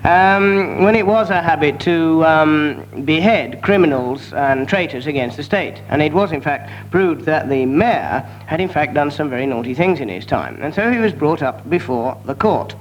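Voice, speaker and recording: A man improvising a comic tale in a halting, digressive, mock-learned manner, on a muffled broadcast recording. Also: on the high side, lively intonation, soft background music. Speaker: comedy panellist. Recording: muffled broadcast with background noise.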